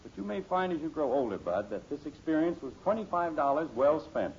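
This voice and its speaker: Blustery sitcom dad, wildly swooping voice. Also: male voice, fairly high pitch, big pitch swings, brisk. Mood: self-assured, advising. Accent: American. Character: blustery, self-assured middle-aged father, easily exasperated. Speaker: actor in a radio sitcom. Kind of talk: 1950s radio sitcom acting. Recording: dull, noisy old radio recording.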